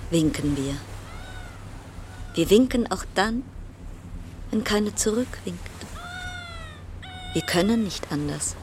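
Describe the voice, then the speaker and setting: A brooding female narrator delivers an absurd, dystopian radio monologue. Her voice sits on the low side and moves very slowly, with deliberate, incantatory phrasing. Faint noise lies behind the words. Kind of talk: literary radio play monologue.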